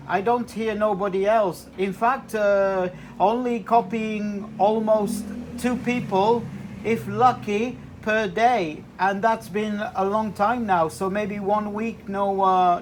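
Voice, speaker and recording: A man speaking as an amateur radio operator in a casual, halting two-way chat full of radio jargon. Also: sits high, fairly even intonation, slight background noise.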